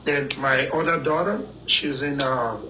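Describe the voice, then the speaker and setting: A man's voice: mid-range voice, unhurried, bright, forceful tone, slight background noise. A Nigerian man calling into a live chat, boastful and joking in Nigerian Pidgin. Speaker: caller.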